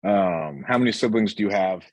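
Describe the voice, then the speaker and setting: A man's voice: lowish voice, normal speed. A man hosting a casual podcast interview, talking in slangy, bro-heavy language with some swearing. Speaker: podcast host interviewing entrepreneurs.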